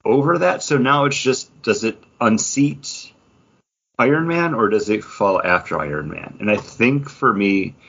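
A man talking casually as a podcast co-host, thinking his opinions through aloud in a measured way. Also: mid-range voice, expressive, vocal fry, a bit bright, slightly rough, lo-fi sound. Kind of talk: casual opinion chat about movie rankings.

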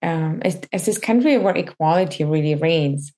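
A woman's voice: low-pitched, expressive, normal speed, dark tone. A woman hosting an interview podcast, thoughtful and asking probing questions. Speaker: podcast host. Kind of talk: casual interview conversation.

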